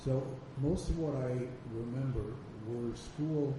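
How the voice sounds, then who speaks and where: An older man, a veteran reporter, recalling past events in a measured storytelling way on a panel. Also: medium pitch. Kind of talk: panel discussion recollection. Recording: distant mic in echoey room with noise.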